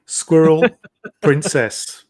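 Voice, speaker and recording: A man's voice: on the high side, extremely slow, a little mellow, rough recording. A man co-hosting a casual talk show, reacting in short, wry remarks and steering the agenda. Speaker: co-host. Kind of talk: casual chat.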